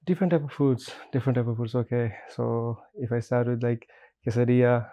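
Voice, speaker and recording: A man's voice: medium pitch, steady tone, brisk, dark tone. Tired young man from Bangladesh. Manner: earnest, repeats himself for emphasis. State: a little tired. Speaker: interview guest. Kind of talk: casual interview.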